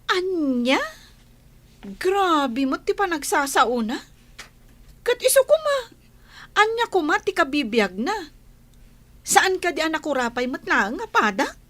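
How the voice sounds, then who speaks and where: A woman's voice: sits high, big pitch swings, measured pace, long pauses, a bit bright, heavily acted. A woman playing a fretful, plain-spoken older village mother in an Ilocano comedy radio drama. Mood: indignant. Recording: faint noise behind the voice.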